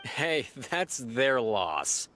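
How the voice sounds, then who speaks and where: Opinionated, cynical police officer. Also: male voice, medium pitch, big pitch swings, drawn-out words, sharp tone, a little hiss or hum, exaggerated voice. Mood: insistent, cynical. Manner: insistent, speculative. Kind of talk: video game dialogue acting.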